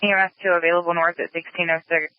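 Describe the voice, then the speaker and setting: Fast, flat male dispatcher. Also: a little high, no room sound. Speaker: emergency radio dispatcher. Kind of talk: clipped dispatch readbacks. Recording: muffled lo-fi radio dispatch channel.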